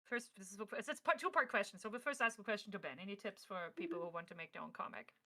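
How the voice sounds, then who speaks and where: A woman's voice: medium pitch, animated, racing, pushed and bright. A woman co-hosting a casual livestream, chatting playfully and passing on audience questions. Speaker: co-host reading audience questions.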